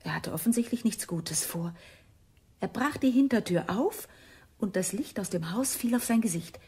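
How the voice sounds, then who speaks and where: A woman's voice: medium pitch, expressive, moderate pace, warm tone. An actress playing an uneasy female witness who is keen to leave, in a German radio crime drama. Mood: uneasy, hushed. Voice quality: breathy, husky.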